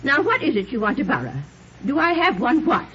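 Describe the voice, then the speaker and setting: A chatty little spinster of about sixty, prim, giggly and easily muddled. Her high voice swoops wildly as she gossips. It is an old, muffled 1950s radio drama recording with some hiss. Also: sing-song, brisk, pushed and bright, heavily acted. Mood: sweetly muddled. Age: around 60. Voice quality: giggly, fluttery delivery.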